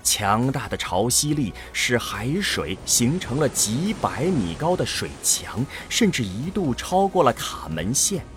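A man's voice: mid-range voice, sing-song, unhurried, hardly any pauses, over music. A man narrating an audio drama from a script in a grave, dramatic manner.

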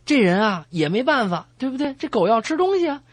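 A woman's voice: fairly high pitch, sing-song, a little fast, dry recording. A woman co-hosting a comedy radio show, telling jokes in playful, teasing banter.

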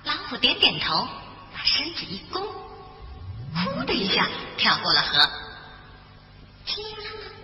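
A woman narrates a children's tale in a high, lively voice with expressive rises and falls. The sound is slightly muffled and echoing, with music behind. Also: measured pace, long pauses. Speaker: children's storyteller. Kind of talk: animated bedtime fable narration. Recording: echoey long reverb, muffled, music behind.

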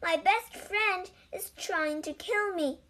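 Woman playing a high-voiced, dramatic grumbling toad. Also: slow, bright, forceful tone, heavily acted. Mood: panicked. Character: anxious, grumbling male toad, dramatic and stubborn. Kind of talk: children's storybook reading.

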